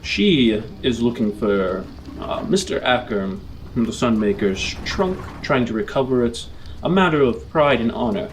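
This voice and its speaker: A man narrating an improvised tabletop roleplay as game master, with measured, formal, descriptive phrasing. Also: medium pitch, big pitch swings, noisy background.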